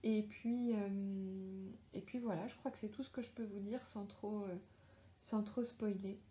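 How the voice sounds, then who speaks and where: A middle-aged woman speaks with a strong French accent in a mellow, relaxed tone, chatting with readers and stopping often to search for words. Her voice carries a noticeable echo from the room at home where she records. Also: mid-range voice, unhurried. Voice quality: hesitant, many fillers and restarts. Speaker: author answering readers live. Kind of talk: casual live Q&A chat. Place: home, lives in Australia.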